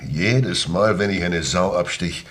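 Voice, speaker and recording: An actor playing a gruff, grumbling country innkeeper in a radio play. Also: male voice, sits low, unhurried, fairly bright tone, slight background noise. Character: grumbling, gruff innkeeper who slaughters his own pigs. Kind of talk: German-language radio drama acting.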